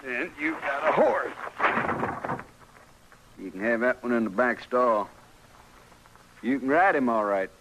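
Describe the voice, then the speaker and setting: An actor playing a folksy, plain-spoken frontier stable keeper in an old radio western, drawling in a rustic American accent. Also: male voice, medium pitch, big pitch swings, a little slow, pauses often. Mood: plain, practical. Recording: noisy old radio recording.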